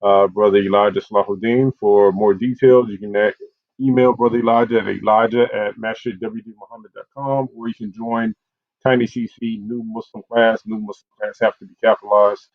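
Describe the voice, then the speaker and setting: A man's voice: lowish voice, steady tone, brisk, no echo. A man hosting an online community program and reading out announcements over a video call. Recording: dull, lo-fi video call audio.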